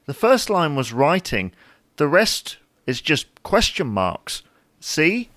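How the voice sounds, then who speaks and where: A man's voice: fairly high pitch, big pitch swings, slow, a bit bright, no room sound, clean studio sound, heavily acted. A man playing a wry, matter-of-fact husband who teases his wife in a domestic comedy audio drama. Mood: teasing, weary. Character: wry, patient, mildly bickering husband glued to social media.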